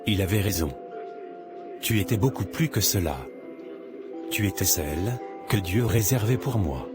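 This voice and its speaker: Cartoon romantic lead, male actor: devout young man, deep voice, sincere, steadfast, music clearly behind. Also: lively intonation, measured pace. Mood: tender, assured.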